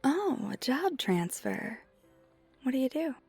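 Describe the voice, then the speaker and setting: Woman playing a breathy, unhurried flirty vampire. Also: high voice, big pitch swings, heavily acted. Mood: chatty, curious. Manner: soft-spoken, gently reassuring, often breathy near-whisper. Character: flirty friendly female vampire teaching ice skating. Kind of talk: ASMR roleplay, one side of a conversation. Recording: music behind the voice.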